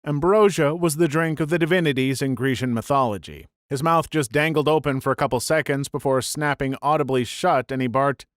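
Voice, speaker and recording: A man's voice: medium pitch, fairly quick, few pauses, no room sound. Dry-voiced man narrating stories. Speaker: narrator reading online posts. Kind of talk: scripted story narration.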